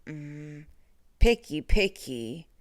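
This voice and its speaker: A woman voicing a mild, bookish male monster in a storybook, with a low, breathy voice and a polite, formal manner. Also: animated, drawn-out words, exaggerated voice. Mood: put out.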